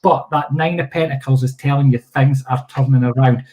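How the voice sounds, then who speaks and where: A man's voice: medium pitch, steady tone, normal speed, darkish tone. A man giving a soothing, affectionate tarot reading on a live stream, calling the viewer sweetheart. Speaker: psychic tarot reader.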